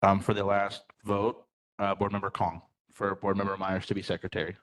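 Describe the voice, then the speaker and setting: A man's voice: deep voice, little pitch movement, brisk, a bit bright, slight background noise. A man running a roll-call vote at a public meeting, apologetic and self-correcting.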